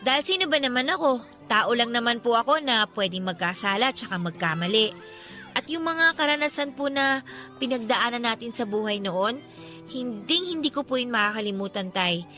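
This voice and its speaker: A woman's voice: on the high side, animated, normal speed, sharp tone, soft background music. A woman acting a cheerful, devout daughter in her twenties in a Filipino radio drama, with a playful, respectful and earnest manner and a Filipino accent. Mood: humble, grateful. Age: 20s. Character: cheerful, devout, teasing 28-year-old daughter.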